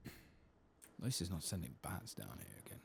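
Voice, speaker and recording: A soft-spoken man giving quiet ASMR commentary as he plays through a video game. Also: a bass voice, big pitch swings, a little slow. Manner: soft-spoken, sometimes dropping to a whisper. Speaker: gaming channel host. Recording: clear background noise with clicking.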